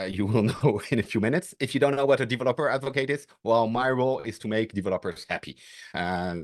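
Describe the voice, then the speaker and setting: A man with a French and Dutch accent, introducing himself quickly and casually as a guest developer advocate. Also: on the low side, no echo, studio quality. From France.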